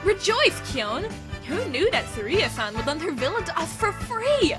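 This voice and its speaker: A woman voicing a bossy, excitable teenage girl who leads a school club, speaking in a commanding, enthusiastic way. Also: very high voice, normal speed, loud music behind the voice. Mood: excited. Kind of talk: fan audio drama acting.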